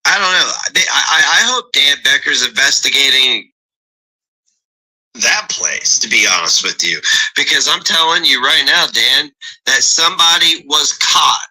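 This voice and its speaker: Agitated man ranting with a sharp voice. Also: mid-range voice, expressive, measured pace, rough recording. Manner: agitated, stumbling and cursing. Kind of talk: heated profane rant.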